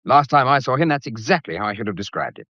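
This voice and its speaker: A firm, emphatic gentleman in a radio drama. His mid-pitched voice moves with lively intonation, in a dry, slightly dull recording. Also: fast, dry recording, heavily acted.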